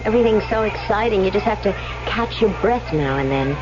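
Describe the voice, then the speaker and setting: A woman's voice: medium pitch, animated, a little fast, music in the background, lightly acted. An actress playing a trusting, easygoing young woman on holiday, breezy and ingenuous, in a 1970s radio drama. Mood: dreamy, cheerful. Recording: dull, band-limited 1970s radio recording.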